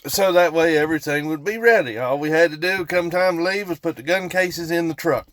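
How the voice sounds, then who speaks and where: Male storyteller, Appalachian accent, slightly high-pitched, folksy and casual, unhurried tangents, dry close sound. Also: fairly bright tone, no room sound. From West Virginia, United States. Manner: folksy, rambling, repeats for emphasis. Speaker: storytelling vlogger.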